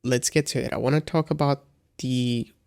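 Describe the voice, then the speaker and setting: A man's voice: medium pitch, steady tone, normal speed, gravelly, darkish tone, clear, resonant tone, no echo, studio quality. A man with a congested voice giving a casual, hesitant review as a beginner, with frequent fillers and restarts. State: congested from a cold. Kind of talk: casual product review vlog.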